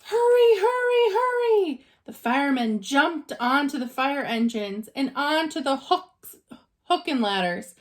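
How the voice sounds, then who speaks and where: A woman reading a children's story aloud like a teacher, expressive and dramatizing for young listeners. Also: high voice, a little slow.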